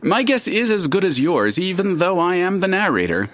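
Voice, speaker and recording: A man playing a chatty, curious, all-knowing narrator in an audio drama, with a dramatic and deliberate storytelling manner. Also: high voice, very animated, fairly bright tone, dry recording. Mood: theatrical, wondering. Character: chatty omniscient male narrator, theatrical and curious.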